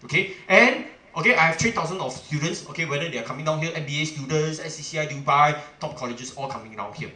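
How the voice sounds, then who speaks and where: A man's voice: fairly high pitch, lively intonation, fast talker, hardly any pauses, bright, forceful tone, echoey room. A middle-aged man from Singapore with a Singaporean English accent, giving a seminar talk as a chamber president, emphatic and full of "okay" and "ah" fillers, often asking the audience questions.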